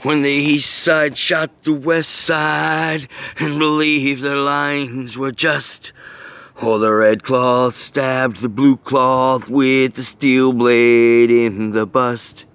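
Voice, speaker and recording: A man recites his own rhyming verse in a clear, resonant voice of average pitch. His delivery is earnest and rhythmic, with each line set out deliberately. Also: flat delivery, a bit bright, no echo. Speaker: poet reading own work. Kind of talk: recited rhyming poetry reading.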